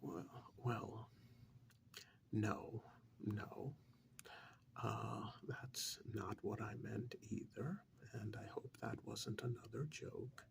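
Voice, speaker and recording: A man playing a polite, flustered, earnest tax accountant in a soft-spoken ASMR role-play, hesitant, apologetic and often repeating himself in a whispery voice. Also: lowish voice, steady tone, extremely slow, gravelly, a little hiss or hum, exaggerated voice. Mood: polite, attentive.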